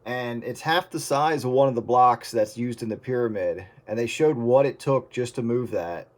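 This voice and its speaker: A man's voice: medium pitch, moderate pace. Man musing casually on the radio. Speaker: participant in CB radio chat. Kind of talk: casual radio chat with friends.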